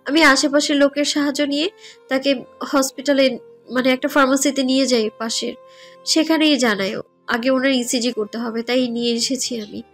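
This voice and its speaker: A woman's voice: high-pitched, fairly even intonation, moderate pace, fairly bright tone. A woman with a Bangladeshi accent playing a headstrong, caring young college student in an audio romance, earnest and insistent. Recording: music under the voice.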